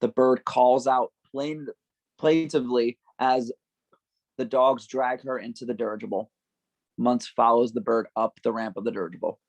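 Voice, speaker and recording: A man narrating a screenplay's stage directions at a table read, with a gravelly voice. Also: medium pitch, fairly even intonation, moderate pace, no room sound, poor audio.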